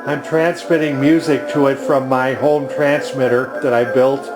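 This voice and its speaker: A man's voice: medium pitch, little pitch movement, measured pace. A man giving a casual, unscripted demonstration in a plainspoken, hesitant manner. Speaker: vintage radio restorer and demonstrator. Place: indoors at home. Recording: roomy home recording with music behind.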